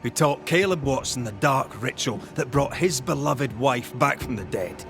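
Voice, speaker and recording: An actor playing a weary, blunt ghost hunter in a video game, stern and accusing, with measured, emphatic delivery. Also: male voice, mid-range voice, animated, music in the background, natural voice. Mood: grave, explaining. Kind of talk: dramatic video game acting.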